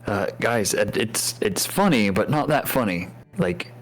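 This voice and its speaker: A man's voice: on the low side, very animated, unhurried. A man playing a tabletop game, chatting casually and speaking in character. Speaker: player. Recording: background music.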